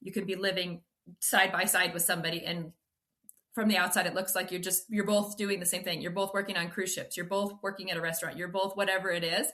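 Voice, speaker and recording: A woman's voice: lowish voice, flat delivery, fast, sharp tone. A woman in her forties hosting a podcast interview, talking in an enthusiastic, effusive way. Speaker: podcast host.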